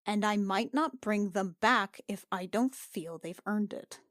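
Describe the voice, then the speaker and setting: Woman player in a tabletop rpg, normal pitch, super dry mic, casual half in character talk. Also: measured pace, no room sound. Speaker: player in a tabletop roleplaying game.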